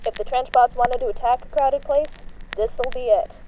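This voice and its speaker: High, brisk flapper secretary, played by a woman, sharp-tongued, faint noise behind. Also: fairly even intonation, natural voice, poor audio. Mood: eager.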